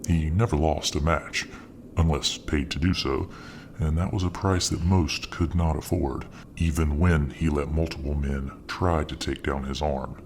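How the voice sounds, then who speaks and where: Male ASMR storyteller, extremely low voice, hushed whispery delivery, faint hiss. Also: steady tone. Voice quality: very deep voice. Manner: slow, soft, partly whispered delivery. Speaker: narrator.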